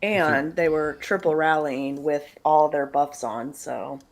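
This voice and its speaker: Slow-talking woman co-hosting a stream. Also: deep voice, slight background noise. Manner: unhurried, matter-of-fact, laughs easily. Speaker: co-host. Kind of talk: casual stream chat.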